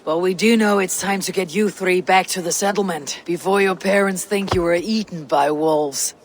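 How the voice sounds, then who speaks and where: A woman playing a firm Viking warrior and clan leader in a video game, measured, dry and commanding. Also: on the low side, slight background noise, lightly acted. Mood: reassuring.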